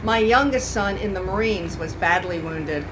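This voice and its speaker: Woman as proud war mother, 1940s radio acting, measured delivery, background noise. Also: mid-range voice, close to an everyday voice. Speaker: reenactor reading a radio script. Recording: noisy live event recording.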